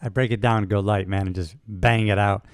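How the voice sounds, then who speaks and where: A man's voice: fairly low pitch, steady tone, brisk, smooth, no room sound, studio quality. Enthusiastic man host in his sixties. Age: 60s. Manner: enthusiastic, buddy-like, calls guest brother. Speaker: podcast host. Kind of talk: casual interview talk.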